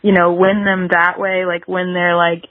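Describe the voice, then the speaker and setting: A woman's voice: lowish voice, flat delivery, a little slow. Woman musician just chatting, says like a lot, rambly, kinda dull radio-ish audio, no room echo. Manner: rambling, peppered with "like". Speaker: guest musician, singer-songwriter. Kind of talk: casual interview chat.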